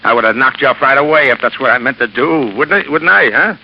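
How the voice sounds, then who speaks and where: A man's voice: medium pitch, lively intonation, quick pace, creaky. An actor playing a crooked, wheedling American gangster with slangy tough-guy talk in a 1940s radio drama. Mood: wheedling, nervous. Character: crooked, wheedling gangster villain, cocky then desperate. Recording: muffled, noisy old radio recording.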